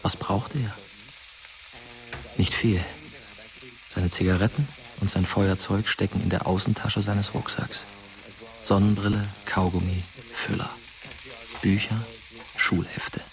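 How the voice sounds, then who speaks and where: A man playing a detached, brooding narrator in a radio drama, speaking in a quiet, terse, measured way. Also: low-pitched, level tone, crowd noise, low-quality recording. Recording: music under the voice.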